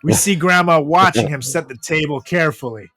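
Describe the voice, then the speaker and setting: A man's voice: fairly high pitch, a little fast, bright, forceful tone. A man hosting a comedy podcast, moving between casual banter and reading a comic story aloud. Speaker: podcast host. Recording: echoey room with music behind.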